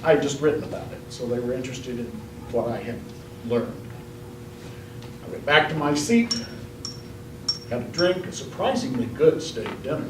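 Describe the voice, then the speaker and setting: A man telling a tall tale as a speech contestant, in a measured, deadpan comic storytelling style. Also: mid-range voice. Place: indoor room at a speech contest. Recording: roomy, distant mic, faint noise.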